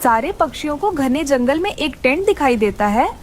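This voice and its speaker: A woman's voice: high voice, expressive, moderate pace, a little hiss or hum. A woman narrating a scripted children's story.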